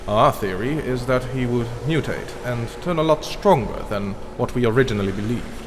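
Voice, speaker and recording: A man's voice: mid-range voice, lively intonation, moderate pace, lightly acted. Man acting clinical vampire researcher, echoing hall. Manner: formal, clinical explaining. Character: cold, articulate male vampire researcher, clinical and scheming. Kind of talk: scripted fantasy voice acting. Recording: long reverb, clearly noisy background.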